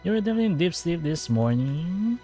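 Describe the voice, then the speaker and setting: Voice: mid-range voice, sing-song, quick pace, mellow. A streamer giving casual, rambling commentary while playing a story game. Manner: chatty, rambling fan theorizing. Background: game music.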